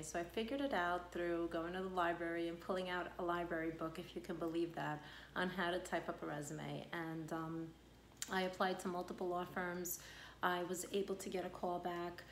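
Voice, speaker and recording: A woman's voice: lowish voice, a little hiss or hum. A woman, talking to camera about her working life in a chatty, rambling way full of fillers. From born in Argentina, raised on Long Island, New York. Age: 40s. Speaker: real estate broker. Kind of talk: casual personal introduction to camera. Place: home living room, indoors. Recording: echoey room.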